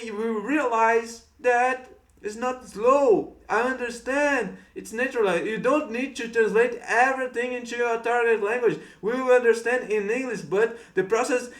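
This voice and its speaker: A man's voice: extremely high pitch, fairly even intonation, normal speed, echoey room. A man from Brazil with a Brazilian Portuguese accent, a native Portuguese speaker, giving earnest advice on learning English and repeating his encouraging phrases. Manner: earnest, repetitive coaching phrases. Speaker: English tutor. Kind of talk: motivational talk to camera.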